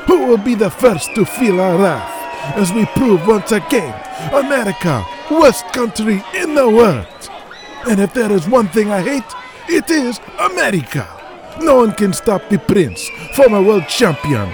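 Brief: bombastic heel manager in an audio story, high and shouty, lively swings, a man puts him on. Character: loud villainous male wrestling manager, boastful.

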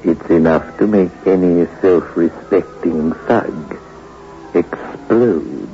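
An actor playing a sardonic horror show host with a deliberate, mock-sinister manner, in 1960s radio style. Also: male voice, low-pitched, slight background noise. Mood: gleefully macabre. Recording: dull old radio recording. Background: music.

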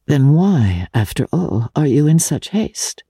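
A woman playing a worldly, wounded aristocratic woman in a dramatic, measured audiobook reading with halting pauses. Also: low voice, very animated, dark tone, breathy edge, no room sound, clean studio sound, full character voice. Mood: subdued, probing. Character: worldly, wounded aristocratic woman, low-voiced then passionately indignant.

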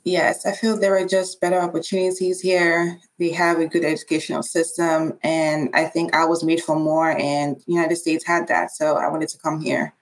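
Woman, somewhat low voice, casual interview guest. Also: little pitch movement, normal speed, hardly any pauses, rough recording. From Nigeria. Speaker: guest, nurse.

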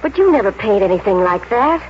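An actress playing an anxious, pleading wife full of foreboding in a 1940s radio drama. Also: female voice, medium pitch, very animated, brisk, natural voice. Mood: weary, irritable. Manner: pleading, insistent. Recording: muffled, noisy 1940s radio recording.

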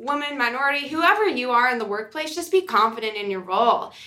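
Bright-voiced woman co-hosting a podcast. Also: a little high, animated, fast talker. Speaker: podcast co-host. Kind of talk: casual podcast discussion.

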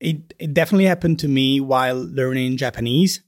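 A man's voice: mid-range voice, a little fast, no echo, clean studio sound. A man co-hosting a podcast in casual conversation, hesitant and restarting words with many "uh"s. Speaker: podcast co-host.

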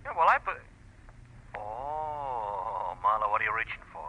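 An American actor playing a brisk, dryly questioning homicide lieutenant in an old radio detective drama. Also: male voice, fairly low pitch, lively intonation, narrow, tinny audio. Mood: skeptical. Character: brisk, sardonic male police homicide lieutenant. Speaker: police detective.

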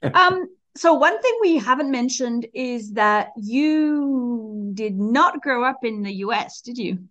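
A woman's voice: fairly high pitch, expressive, takes it slowly, a bit bright. Woman host with dull lo-fi sound. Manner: encouraging, short affirming interjections. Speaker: podcast host. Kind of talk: conversational interview.

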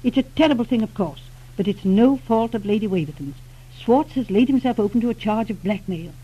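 Husky, animated radio secretary. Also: female voice, on the high side, brisk. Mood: shocked, indignant. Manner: exclamatory, incredulous, theatrical. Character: loyal, easily shocked female secretary to a detective. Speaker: radio drama actress. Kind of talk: 1940s radio acting. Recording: dull vintage radio recording.

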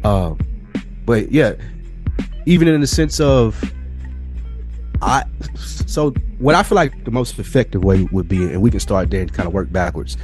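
A man's voice: fairly low pitch, animated, unhurried, long pauses, a little mellow, soft background music. A man co-hosting a podcast, arguing his opinions casually and bluntly, with plenty of swearing. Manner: blunt, profane, argumentative. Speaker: podcast co-host.

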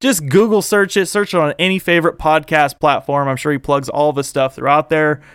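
Enthusiastic male podcast host, smooth voice. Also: fairly high pitch, steady tone, brisk, fairly bright tone, dry recording, clean studio sound. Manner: enthusiastic, laughs often, loose and rambling. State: losing his voice. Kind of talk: casual podcast chat.

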